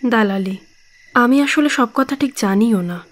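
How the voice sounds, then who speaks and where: A woman's voice: fairly high pitch, measured pace. An actress playing a reserved, dutiful young woman in an audio drama, speaking in a matter-of-fact way. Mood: matter-of-fact, resigned. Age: young adult.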